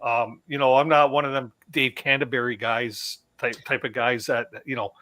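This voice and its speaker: Bright-voiced Canadian man, chatty guest. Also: medium pitch, fairly even intonation, moderate pace, no echo. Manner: chatty, stammers and repeats himself. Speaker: podcast guest, outdoorsman. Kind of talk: casual chat.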